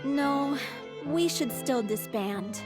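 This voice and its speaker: A woman playing an ailing, stubborn young stage performer in a video game, weary and gently teasing. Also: high voice, animated, slow. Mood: weary, firm. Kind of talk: video game dialogue acting. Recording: music behind the voice.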